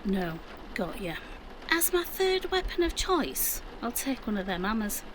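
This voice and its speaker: A plucky, wry British mum in a zombie audio drama , acted by a woman. Her pitch is higher than most and moves about a lot, with colloquial, dry-humoured phrasing. Faint noise sits behind her. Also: sing-song, unhurried. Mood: resolute. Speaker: actor.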